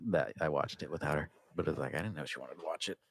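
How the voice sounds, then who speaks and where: Very deep-voiced man, casual podcaster. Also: fast talker, creaky, no room sound, clean studio sound. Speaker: podcast co-host. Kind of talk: casual chat.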